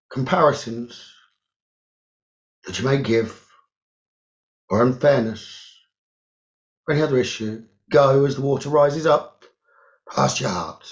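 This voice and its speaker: A man leading a guided meditation as a teacher, giving gentle instructions in short phrases with long pauses. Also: mid-range voice. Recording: roomy echo, dull lo-fi sound.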